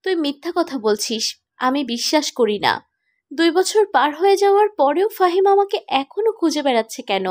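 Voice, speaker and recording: A woman plays a wounded but stubborn young woman in a cartoon romance. The voice is high-pitched. The delivery is insistent and emotional. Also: expressive, a little fast, darkish tone. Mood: disbelieving, agitated. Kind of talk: animated romantic drama dialogue.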